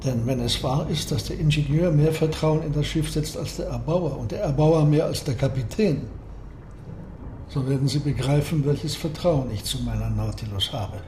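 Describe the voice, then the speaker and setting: Proud captain-engineer male actor, radio drama. Higher register, courteous, measured, secretive; echoey room. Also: natural voice. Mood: proud devotion. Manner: measured, precise, formal. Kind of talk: German radio drama acting. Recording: roomy echo, clear noise behind.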